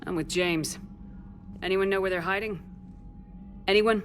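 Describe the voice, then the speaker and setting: A woman's voice: mid-range voice, steady tone, measured pace, close to an everyday voice. A woman playing a weary, resolute military commander in a science fiction game, with a terse, commanding delivery. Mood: determined, frustrated. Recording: game music behind the voice.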